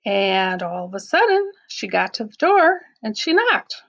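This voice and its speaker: Slow, animated woman storyteller with creaky voice. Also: medium pitch, lo-fi sound. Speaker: storyteller reading for schoolchildren. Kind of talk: read-aloud children's storytelling.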